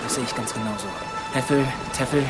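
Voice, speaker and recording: An actor playing Smirre, an anxious water gnome who captains a ship and barks orders in a radio play. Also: male voice, medium pitch, quick pace, over loud music, natural voice. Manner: worried, commanding orders.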